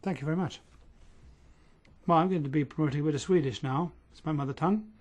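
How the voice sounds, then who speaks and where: A man interpreting at a parliament committee hearing, speaking in a steady, measured way with an uneven pace. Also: on the high side.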